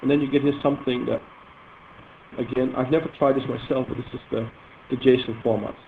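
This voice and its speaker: A man with a Dutch accent explaining database queries in a live demo, halting and full of fillers. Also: mid-range voice, fairly even intonation, creaky. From Netherlands. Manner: halting, filler-heavy, self-correcting explanation. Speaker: software presenter demonstrating database queries. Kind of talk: live technical demo talk. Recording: tinny phone-like audio with faint noise.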